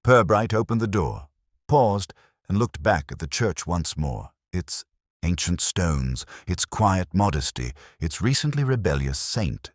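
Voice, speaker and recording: A man narrating a scripted mystery story in a measured, literary storytelling manner. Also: low voice, big pitch swings, no echo, clean studio sound.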